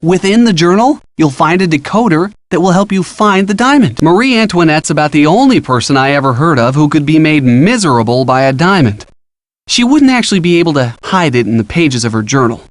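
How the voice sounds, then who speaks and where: An actor playing a helpful, upbeat college-age boyfriend with an American accent, giving casual, encouraging detective hints in an adventure game. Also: male voice, a little high, animated, a little fast, dry recording, close to an everyday voice. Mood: curious, encouraging. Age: young adult. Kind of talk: scripted game hint dialogue.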